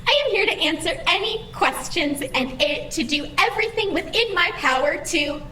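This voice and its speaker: A chirpy female computer assistant, acted by a woman for an audio drama. Her voice is high and bright, formal and robotically polite, with an anxious eagerness to please. It sounds echoey, with faint noise behind it. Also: expressive, moderate pace, far from the mic, heavily acted. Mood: chirpy, earnest.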